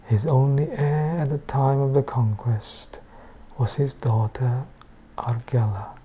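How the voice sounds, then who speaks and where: A woman reading a book aloud in a hushed, soft-spoken ASMR bedtime style, often slipping toward a whisper. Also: rumbling low, fairly even intonation, slow, slight background noise, rough recording. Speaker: book reader, ASMR channel host.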